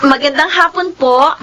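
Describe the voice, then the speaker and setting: An actress playing a polite, evasive young daughter in a Filipino radio drama. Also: female voice, sits high, pushed and bright, slight background noise, exaggerated voice, rough recording.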